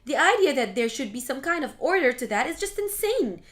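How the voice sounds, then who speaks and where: A woman voicing an outspoken adult daughter who talks in a sharp, exasperated way. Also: high-pitched, big pitch swings, brisk, faint music behind. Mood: angry.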